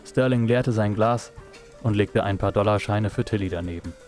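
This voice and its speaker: Lowish male narrator over music. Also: normal speed, darkish tone. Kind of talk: scripted audio drama narration.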